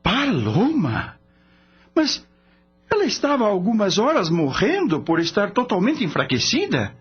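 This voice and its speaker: An actor playing a naive, curious young man new to the spirit world in a radio drama, husky, wondering and hesitant. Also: high voice, wildly swooping pitch, measured pace, full character voice. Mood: amazed. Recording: dull lo-fi audio with background music.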